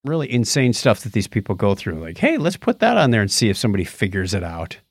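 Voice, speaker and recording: A man's voice: lowish voice, huge pitch swings, fast, husky, dry recording, clean studio sound. A man co-hosting a podcast in casual chat, explaining things in a geeky, enthusiastic way. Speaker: podcast co-host.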